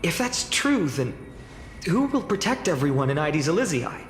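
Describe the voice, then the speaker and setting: A man's voice: fairly high pitch, sing-song, moderate pace, a little hiss or hum. A male actor voicing an earnest, humble young village boy and would-be hero in a game, hesitant and thoughtful. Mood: pensive resolve. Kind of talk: dramatic game acting. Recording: echoey hall reverb, music behind.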